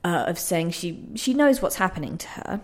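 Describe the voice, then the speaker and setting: A woman with a slightly low voice talks as a playwright in a radio interview. She is earnest and reflective, explaining things in a conversational way. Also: expressive, moderate pace.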